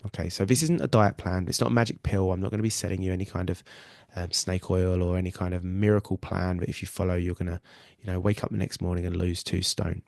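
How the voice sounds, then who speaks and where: A man's voice: deep voice, little pitch movement, fast talker, creaky, darkish tone, no room sound. A man with a nasal cold, hosting a live weight loss webinar in an earnest, reassuring way and often repeating himself. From England. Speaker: weight loss coach and podcast host.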